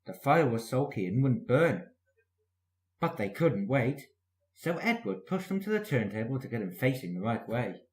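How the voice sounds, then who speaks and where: A man's voice: mid-range voice, sing-song, normal speed. A man reading a scripted children's story as the narrator. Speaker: storybook reader. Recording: echoey room.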